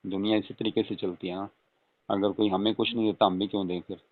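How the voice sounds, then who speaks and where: Guy acting as flirty father in law, low gravelly voice, coaxing murmur, tinny phone call. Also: level tone, quick pace, close to an everyday voice. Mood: persuasive. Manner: coaxing, murmuring, with questioning hums. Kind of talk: staged intimate phone-call role-play in casual talk.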